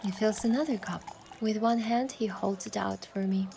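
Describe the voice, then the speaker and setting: A woman voicing a playful, tender young heroine who narrates her own romance in a game with soft, lyrical storytelling. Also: mid-range voice, fairly even intonation, moderate pace, gravelly, slight background noise, close to an everyday voice. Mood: dreamy. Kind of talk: scripted first-person narration and dialogue in romance game. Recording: music behind the voice.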